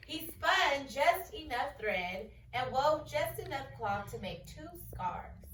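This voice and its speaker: A woman teacher reads a picture book aloud with lively storybook expression, pausing to put questions to her class. Her voice sits a little high. She sounds set back from the mic in a reverberant room, with a faint hiss underneath. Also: recorded from a distance.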